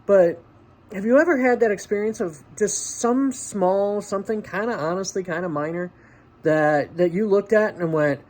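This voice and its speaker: A man's voice: sits high, normal speed, darkish tone, poor audio. A man talking casually and directly to the listener like a friend, in an encouraging, conversational motivational vlog style. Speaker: vlog host.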